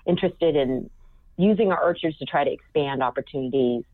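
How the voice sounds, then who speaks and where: Low-voiced woman on a tinny phone line. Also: no echo. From California. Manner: measured, explanatory, frequent ums. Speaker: guest scientist. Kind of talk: podcast interview over phone line.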